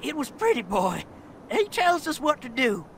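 An actor voicing a frantic, whiny lowlife biker informant with an American accent and slangy grammar in a video game. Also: male voice, big pitch swings, moderate pace, vocal fry, fairly bright tone, slight background noise, full character voice. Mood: scared, pleading. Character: panicky greasy lowlife biker informant, cornered and pleading. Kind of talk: video game cutscene acting.

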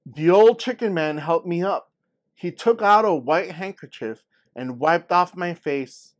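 A man's voice: high-pitched, unhurried. A man reading a picture book as a young girl earnestly and expressively telling her story. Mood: earnest, suspenseful. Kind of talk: scripted picture-book read-aloud.

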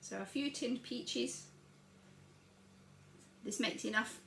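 A woman's voice: on the high side, slow pace, creaky, a bit bright, a little hiss or hum. Older woman, chatty cooking demo, home kitchen, camera across the counter, roomy sound. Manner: chatty, narrates each step while working. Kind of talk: casual cooking demonstration. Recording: echoey kitchen with clattering dishes.

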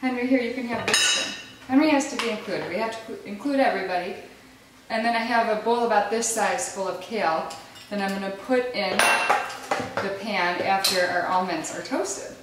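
A woman's voice: mid-range voice, normal speed, heavy background noise. A woman in her thirties patiently talking through a casual home cooking demonstration while coaxing small children along. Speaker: mother hosting a home cooking video. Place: large home kitchen, indoors. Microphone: camera across the counter. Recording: echoey kitchen, distant mic, clattering pans. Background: dishes, pans, clicks, small children.

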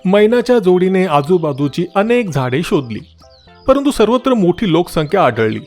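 A man narrating a scripted moral story for children as a storyteller. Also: a little high.

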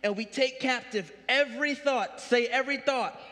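A man in his thirties preaching a sermon from a large church stage, animated, with punchy rising questions. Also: very high-pitched, normal speed, big-room sound. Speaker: pastor.

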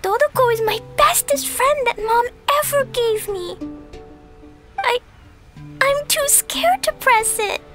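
A woman's voice: very high voice, slow pace. A woman voicing an earnest, childlike little girl adventurer in a video game. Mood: worried, scared. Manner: childlike, wide-eyed, earnest. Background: game music.

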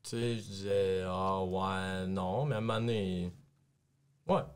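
A man's voice: low-pitched, unhurried, clean tone. A young man with a Quebec French accent, chatting casually in a colloquial, blunt way. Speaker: panelist. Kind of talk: casual chat. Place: small radio studio.

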